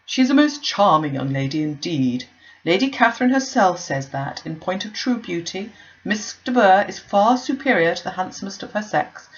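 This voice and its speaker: A pompous young clergyman, voiced by a woman reading aloud, holds forth at length in fawning, self-important phrases. The voice rises and falls in a lively way, and the recording sounds dull and lo-fi. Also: medium pitch, lightly acted. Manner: pompous, long-winded, flattering.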